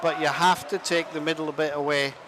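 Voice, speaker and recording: A man giving live play-by-play commentary on an ice hockey game, chatty and self-deprecating, sometimes pausing to find his words. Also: a little high, fairly even intonation, background noise. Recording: music clearly audible behind the voice. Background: music.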